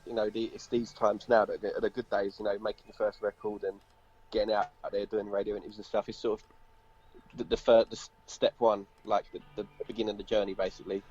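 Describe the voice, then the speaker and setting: Man, British accent, lowish pitch, casual interviewee, dull call audio. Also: little pitch movement, quick pace, darkish tone. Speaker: band member interviewee. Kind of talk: casual chat.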